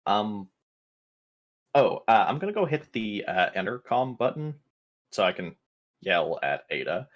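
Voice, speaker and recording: A man's voice: lowish voice, sing-song, a little slow, pauses often, lo-fi sound. A man playing a tabletop roleplaying game, speaking casually in and out of character and often thinking aloud with hesitations.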